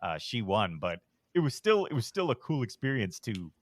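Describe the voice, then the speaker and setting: A middle-aged man with a deep voice, telling a long rambling story on a podcast in a relaxed, self-deprecating way. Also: normal speed. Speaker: podcast host, guest. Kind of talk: casual storytelling.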